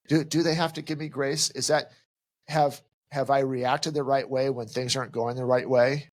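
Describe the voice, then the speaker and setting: Podcast co-host, middle-aged man, mid-pitched, emphatic, slips into quoted voices, close dry sound. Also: no echo. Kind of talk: casual advice chat.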